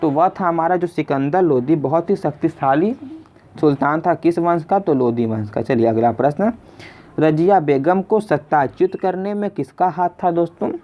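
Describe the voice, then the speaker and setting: A man's voice: fairly high pitch, normal speed. Man teaching a quiz, dull lo-fi recording. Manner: addresses listeners as friends, poses and repeats questions. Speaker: quiz teacher and video host. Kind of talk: question-and-answer exam lesson narration.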